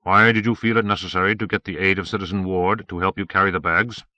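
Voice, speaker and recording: A suspicious police sergeant acted by a male narrator, harsh and formal. He questions in a fairly low, hard-edged voice. The sound is dry. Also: steady tone, quick pace, pushed and bright, dry recording. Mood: cool, formal. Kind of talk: audiobook character acting.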